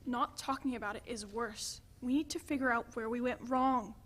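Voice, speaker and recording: A woman's voice: a little high, moderate pace, fairly bright tone. A woman in a dramatic play reading, playing an insistent, apologetic partner in a troubled relationship. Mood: pressing.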